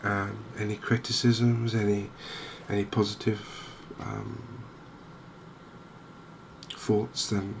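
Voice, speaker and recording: A man gives gentle, softly spoken ASMR commentary on a game, chatty and unhurried with hesitant pauses. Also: lowish voice. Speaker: gaming video host. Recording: clear steady background noise and ambience.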